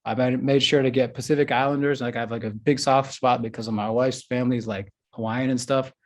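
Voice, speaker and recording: Man, filmmaker on a panel; relaxed, rambling, filler-heavy, mid pitch. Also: a little fast. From United States. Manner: loose and chatty, full of "like" and "you know what I'm saying". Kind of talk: casual panel discussion.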